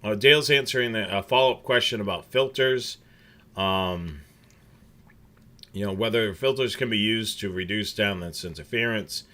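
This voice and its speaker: A man's voice: fairly low pitch, moderate pace, pushed and bright. A man hosting a casual livestream chat, rambling with many fillers and restarts. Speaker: scanner show host.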